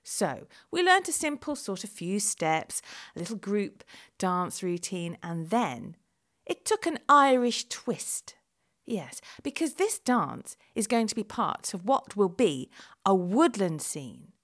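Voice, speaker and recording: A woman's voice: medium pitch, very animated, takes it slowly, a bit bright. A middle-aged woman from England telling a chatty, self-deprecating diary-style account of her experiences. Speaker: vlog presenter. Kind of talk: first-person diary narration.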